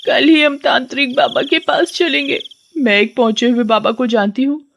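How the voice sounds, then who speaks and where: A woman voicing an excitable, nagging village wife in a dramatized horror story, with exclamatory delivery. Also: sits high, moderate pace, vocal fry, a little hiss or hum, pitched above the performer's own voice. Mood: anxious.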